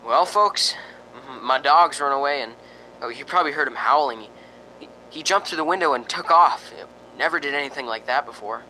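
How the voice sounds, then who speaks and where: Jumpy, bright-voiced actor as a frightened radio host. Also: male voice, medium pitch, brisk, a little hiss or hum, low-quality recording. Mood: uneasy. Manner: stammering, hesitant, exclaiming. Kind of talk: old-time radio drama acting.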